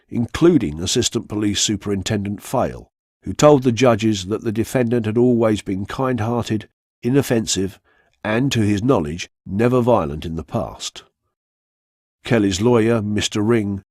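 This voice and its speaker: A man reading a scripted true-crime story for radio in a measured, factual storytelling manner. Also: lowish voice, darkish tone, slightly rough, no room sound, studio quality. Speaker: narrator.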